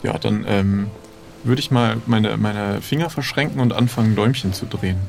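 A man's voice: fairly low pitch, moderate pace, vocal fry, soft background music. A man playing an improvised adventure in casual conversational speech, with a tentative, questioning manner. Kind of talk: casual in-character role-play chat.

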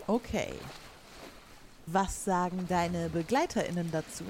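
A woman's voice: fairly low pitch, measured pace, a little mellow, a little hiss or hum, natural voice. A woman playing a stern, authoritative pirate captain in an improvised audio drama. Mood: commanding.

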